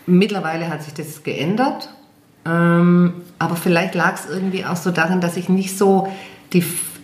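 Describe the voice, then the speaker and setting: A woman's voice: on the low side, steady tone, normal speed, a little hiss or hum. A German woman singer, chatting in an interview, hesitant and self-deprecating with many fillers. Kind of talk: casual interview chat. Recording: echoey room.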